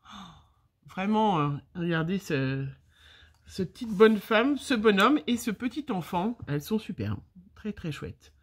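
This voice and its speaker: A woman's voice: medium pitch, animated, unhurried, slightly rough. A middle-aged French woman with a slight cold, chatting casually and rambling with many fillers as she opens her mail for her viewers. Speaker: scrapbooking vlogger. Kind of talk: casual chat, opening mail on camera.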